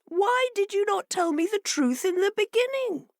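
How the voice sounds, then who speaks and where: A woman's voice: very high voice, expressive, moderate pace, dry recording, clean studio sound, pitched above the performer's own voice. A woman voicing a proud, cunning male fox, raised above her own voice. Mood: sly. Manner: haughty, then sly.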